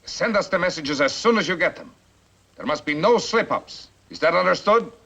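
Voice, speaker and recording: A man's voice: high voice, lively intonation, normal speed, vocal fry, bright, forceful tone, lo-fi sound, full character voice. A man playing an exasperated, domineering Soviet intelligence officer in a 1950s film, curt and commanding. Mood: stern.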